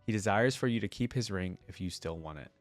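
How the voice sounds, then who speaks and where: A man reading the part of a solemn, compassionate woman who carries spirits, in a gentle, grave storybook delivery. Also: on the low side, a little fast, no room sound, faint music behind, natural voice. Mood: solemn, consoling. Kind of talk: story read-aloud.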